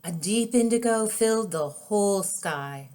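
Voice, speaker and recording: A woman reading her own children's book aloud as storybook narration, with expressive, deliberate, drawn-out phrasing. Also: mid-range voice.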